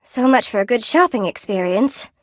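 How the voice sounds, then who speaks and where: Game role: sweet, lonely spider-woman shopkeeper played by an actress, gentle and eager to please, fairly high voice, unhurried, dry studio sound. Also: animated, no room sound, lightly acted. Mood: worried, dismayed. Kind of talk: video game voice acting, short character barks.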